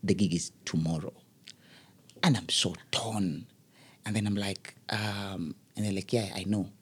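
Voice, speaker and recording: A middle-aged man, an event MC telling a story as an interview guest, lively and acting out the voices he quotes. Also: deep voice, measured pace, slightly rough. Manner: lively, acts out quoted voices, laughs often.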